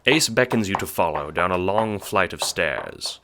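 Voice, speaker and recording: A man's voice: deep voice, animated, moderate pace, noisy background. A man narrating an audio drama in dramatic, scripted second-person storytelling.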